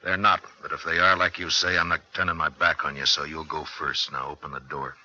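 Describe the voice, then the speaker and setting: An actor playing a stern, weary American marshal in a 1950s radio western, speaking and narrating with grave, terse authority. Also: male voice, extremely low pitch, level tone, fairly quick, pushed and bright, full character voice. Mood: stern, controlled. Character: stern, weary male frontier marshal, gruffly commanding. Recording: dull 1950s radio recording, music behind.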